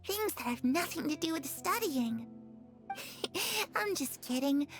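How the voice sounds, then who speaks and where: A young girl, innocent and wistful, with a very high, soft voice. She speaks slowly and sweetly in an anime-style game scene, with music clearly underneath. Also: animated, exaggerated voice. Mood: playful, wistful.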